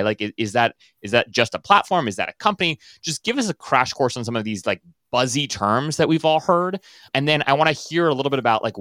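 A man's voice: medium pitch, a bit bright, no echo, studio quality. A man hosting a podcast, introducing the series and chatting with a guest in an upbeat, rambling way with many restarts. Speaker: podcast host. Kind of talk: casual podcast interview.

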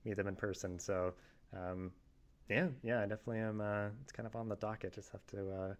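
A man with a fairly low voice hosts a relaxed podcast chat. He rambles through his thoughts with plenty of "uh" and "like" as he wraps things up. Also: fairly quick. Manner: filler-heavy, rambling with many "like" and "uh". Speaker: podcast host. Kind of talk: casual interview chat.

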